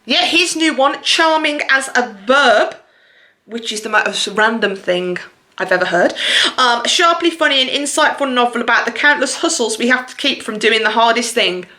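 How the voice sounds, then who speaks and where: A woman's voice: on the high side, animated, normal speed, pushed and bright. A woman hosting a chatty book vlog, talking straight to her viewers in an enthusiastic, gushing way. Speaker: book reviewer and video host.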